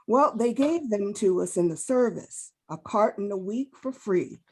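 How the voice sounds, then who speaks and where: A woman's voice: mid-range voice, lively intonation, unhurried, breathy edge. A woman playing a reserved, polite middle-aged male busboy in an amateur drama table read, plainspoken and understated.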